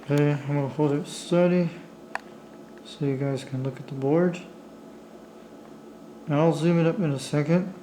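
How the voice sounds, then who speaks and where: A man giving a casual, unscripted show-and-tell in a halting, apologetic way, as a hobbyist talking while he handles his camera. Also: a little high, fairly even intonation, warm tone, slight background noise, low-quality recording. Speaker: amateur radio hobbyist showing a device. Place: indoors at a table. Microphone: handheld camera's own microphone.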